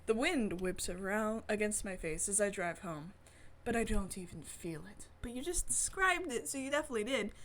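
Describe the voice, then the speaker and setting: A woman's voice: mid-range voice, fairly bright tone, slight background noise. A woman reading a visual novel aloud expressively, adding playful, wry asides in casual commentary as a streamer. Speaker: let's-play streamer.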